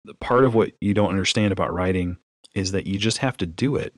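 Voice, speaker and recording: A man with a fairly low voice co-hosts a casual podcast about books. He addresses listeners directly and stresses his points. The recording is dry, free of room sound. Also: brisk, no echo. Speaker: podcast co-host.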